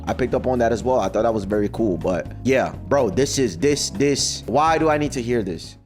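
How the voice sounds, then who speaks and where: A man with a mid-pitched voice gives casual streamer reaction commentary. His talk is slangy and full of joking exasperation. Music plays behind him. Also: animated, moderate pace, darkish tone. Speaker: streamer reacting to game voice lines.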